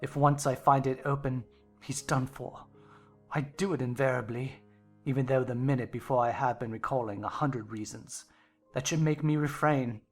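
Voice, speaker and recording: A woman's voice: rumbling low, fairly even intonation, moderate pace, soft background music, voice pushed down. A woman voicing a grim, surly country master, brooding and vengeful, growling with menace in a dramatized novel reading. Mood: dark, obsessive menace.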